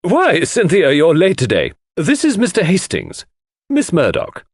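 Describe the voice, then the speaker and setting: A man's voice: on the high side, huge pitch swings, a little slow, slightly rough, no echo, studio quality, pitched above the performer's own voice, full character voice. A man playing a talkative, fussy matriarch in an audiobook, with a gushing, exclamatory manner.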